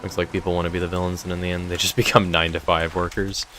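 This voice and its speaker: Young man, low voice, slightly strained, casual streamer chatter, faint hiss. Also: little pitch movement, rapid-fire, fairly bright tone. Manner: rambling, reads chat aloud and answers it. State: voice feeling strained. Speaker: streamer running a game server. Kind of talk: casual live-stream chat.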